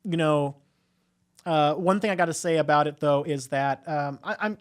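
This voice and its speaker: Higher-pitched man in casual podcast chat. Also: normal speed. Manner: rambling, hesitant phrasing with frequent "you know". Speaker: podcast host reviewing films. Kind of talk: casual film discussion.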